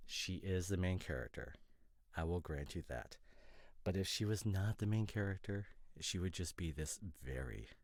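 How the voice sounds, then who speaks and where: A man playing an opinionated, nitpicking boyfriend in a casual roleplay audio drama, talking in pedantic, exasperated, halting asides. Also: low-pitched, expressive, dry recording. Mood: grudging, nitpicky. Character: opinionated, nitpicking boyfriend, a professional costume designer.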